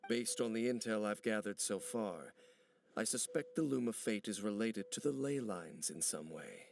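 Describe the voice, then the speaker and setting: A man playing a brooding, serious investigator in a video game, speaking in a formal, deliberate and grave way. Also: on the low side, lively intonation, creaky, slight background noise. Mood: grave, analytical. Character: brooding, serious male investigator, measured and cryptic.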